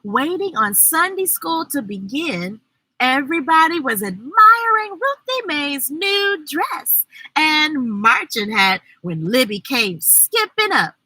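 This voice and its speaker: A woman reading a children's story aloud, expressive and deliberate, adding her own asides. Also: high voice, no echo, low-quality recording. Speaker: storyteller, host.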